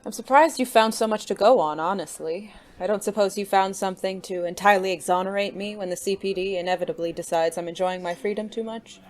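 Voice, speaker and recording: An actor playing an unflappable, secretive gentleman in a noir audio drama, speaking with teasing formality. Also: medium pitch, fast, few pauses, close to an everyday voice. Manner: genteel, arch, formal diction.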